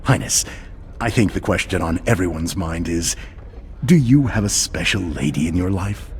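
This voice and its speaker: An actor playing a glib, pompous propaganda news anchor in a video game, with an American accent and slick, bombastic delivery. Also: male voice, low voice, animated, moderate pace, a little mellow, a little hiss or hum. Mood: smug, showy.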